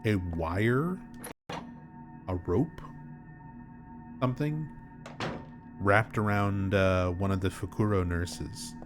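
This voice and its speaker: A man's voice: deep voice, takes it slowly, long pauses, music in the background. A man streaming a game, explaining things casually while nursing a sore throat. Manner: explanatory, chatty, gets animated when amused.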